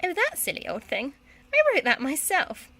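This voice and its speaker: Woman playing a cheeky, high-pitched smug schoolgirl. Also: wildly swooping pitch, moderate pace, pushed and bright, dry recording, heavily acted.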